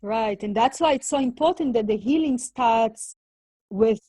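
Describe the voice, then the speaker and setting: A woman born in Latvia who grew up in Israel, hosting a spiritual conversation in a calm, measured way on a video call. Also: fairly high pitch, husky, no room sound. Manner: calm, measured, warm affirmations.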